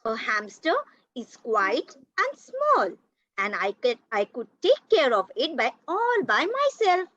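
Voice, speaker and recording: A woman reading the part of an eager young boy who longs for a pet, in a slow, careful, halting read-aloud over muffled call audio. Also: high-pitched, wildly swooping pitch, a bit bright, exaggerated voice. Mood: eager, pleading. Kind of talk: reader's theatre read-aloud.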